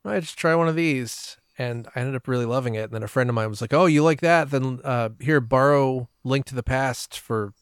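A man with a clean, smooth voice talks casually as a guest on a podcast. He tells personal stories in a conversational way, recorded dry with no room around him. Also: medium pitch, a little fast, few pauses, no room sound. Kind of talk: casual chat, telling personal anecdotes.

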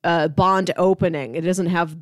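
A woman's voice: lowish voice, fairly even intonation, normal speed, dry recording. A woman co-hosting a movie podcast, talking casually in a conversational, wry and joking way.

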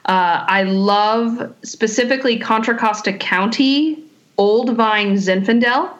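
A woman's voice: a little high, takes it slowly, muffled recording. A woman from California, a sommelier guest, answering questions in a thoughtful way with many fillers and restarts. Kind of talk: casual interview answers.

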